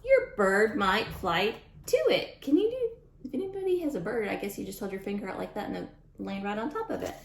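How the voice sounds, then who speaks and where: Female storytime teacher, lively swooping delivery, mid-range voice, speaks straight to little kids, recorded in a roomy echo. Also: medium pitch, brisk, a little hiss or hum. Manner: expressive, addresses young listeners directly. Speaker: teacher reading a picture book aloud to children. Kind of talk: read-aloud storytime for young children with chatty asides.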